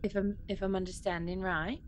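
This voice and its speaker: A woman's voice: medium pitch, flat delivery, fairly quick. A woman playing a tabletop game, chatting casually about her moves in a playful, wry way. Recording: clear background noise.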